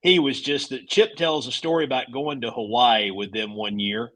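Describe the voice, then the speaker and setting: A man's voice: medium pitch, fairly even intonation, normal speed, fairly bright tone, low-quality recording. A man speaking as a broadcaster guest in casual sports talk, with a folksy, anecdotal manner. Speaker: radio play-by-play broadcaster, guest.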